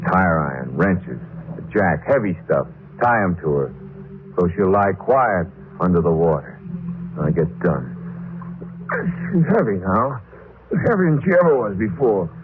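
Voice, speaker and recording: An actor playing a guilt-haunted, nervous murderer in an old-time radio horror drama, speaking in halting, stammering phrases. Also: male voice, low voice. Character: guilt-haunted, jittery murderer narrating his crime. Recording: noisy, muffled old radio broadcast.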